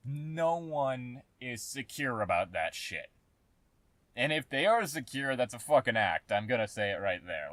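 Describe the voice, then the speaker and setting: A man giving a casual, sarcastic commentary rant, exasperated and swearing heavily, with halting, emphatic repeats. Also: fairly low pitch, fairly bright tone, no room sound. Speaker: host of a commentary channel.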